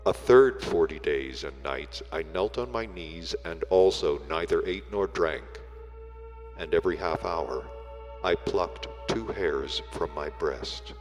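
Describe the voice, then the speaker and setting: A man reading a book aloud as solemn, scripted narration, in measured, deliberate phrases with weighty breaks. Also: deep voice, animated. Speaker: audiobook reader. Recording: long reverb, music behind the voice. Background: music.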